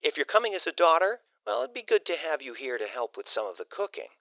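A man's voice: fairly high pitch, sing-song, fast talker, creaky, a bit bright, no room sound, muffled recording, voice pushed up, exaggerated voice. A man voicing a sarcastic, controlling middle-aged mother with a dry, pointed manner in an audiobook story.

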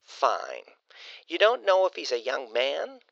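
A man's voice: mid-range voice, wildly swooping pitch, slow, vocal fry, fairly bright tone, no room sound, dull audio, pitched above the performer's own voice, heavily acted. A man voicing a sarcastic, controlling middle-aged mother with a dry, pointed manner in an audiobook story. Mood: probing.

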